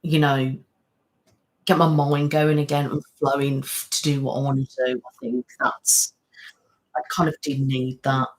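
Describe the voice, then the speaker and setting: A woman in her thirties hosts a relaxed live stream, talking in a loose, rambling way. She reads out viewers' comments and answers them as she goes. She sits close to the mic. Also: low voice, measured pace, rough recording. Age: 30s. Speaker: ASMR channel host. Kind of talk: casual live-stream chat.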